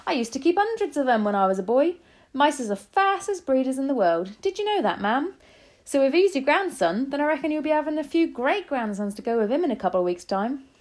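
A woman's voice: high-pitched, very animated, a little fast, a little mellow, voice pushed up. A woman voicing a chatty, folksy old male taxi driver in a storybook. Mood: amazed, chatty. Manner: colloquial, chatty.

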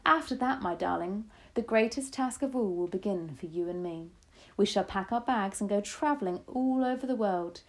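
A woman's voice: mid-range voice, moderate pace, lightly acted. A woman voicing a feisty, fierce old grandmother with bold, impatient, gleeful declarations in a storybook reading. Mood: rousing, triumphant. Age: older. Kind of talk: storybook read-aloud.

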